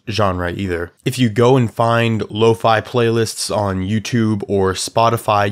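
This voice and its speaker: Young male tutor, slightly raspy, lower register, laid-back conversational delivery, home desk mic. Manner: relaxed, chatty, filler-heavy explaining. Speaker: music production tutor. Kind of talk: casual tutorial walkthrough. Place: small room at home.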